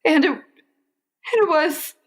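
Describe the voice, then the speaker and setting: A woman playing a fretful, dramatic mother of grown sons in a comic radio play, overwrought and halting. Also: sits high. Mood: embarrassed, distraught.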